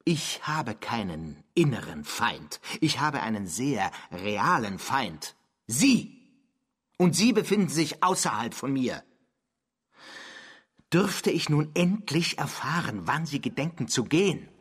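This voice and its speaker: An exasperated, defensive man being pestered, in a radio drama. His voice is very husky and rough, and it moves in lively swings through curt, sarcastic retorts. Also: mid-range voice, slow pace, heavily acted. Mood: irritated, dismissive. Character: irritated, defensive married man pestered by a stranger. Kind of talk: German radio drama acting.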